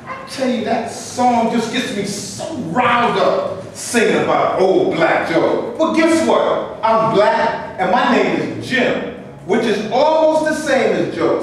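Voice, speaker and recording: Un homme jouant un Jim amer et indigné. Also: male voice, high-pitched, lively intonation, a little slow, slight background noise. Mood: indignant. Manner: bitter, indignant complaining. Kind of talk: staged reading. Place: stage before a live audience. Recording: echoey hall, voice from far off.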